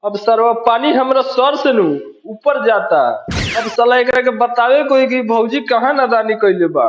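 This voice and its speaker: Bhojpuri-accented man, high voice, cheeky and mocking, roomy echo, slightly muffled. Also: a little slow, a little hiss or hum. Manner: cheeky, mocking, crude jokes. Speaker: roast video host. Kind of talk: comic roast commentary. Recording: roomy, slightly dull, music behind.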